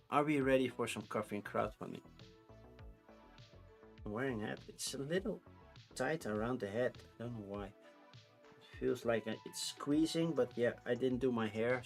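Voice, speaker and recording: A man's voice: mid-range voice, expressive, unhurried, vocal fry, long pauses, faint music behind. A man in his thirties with a Dutch accent, rambling casually on a live stream. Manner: rambling, chatty, with frequent restarts and laughs.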